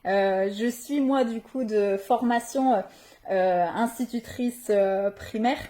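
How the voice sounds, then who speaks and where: A young woman from northern France talks about herself in a soft, unhurried manner. Her voice sits a little high but sounds mellow and warm. She pauses often with small fillers as she gathers her thoughts. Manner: gentle, many filler pauses. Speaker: spiritual page creator. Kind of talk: slow, hesitant personal introduction.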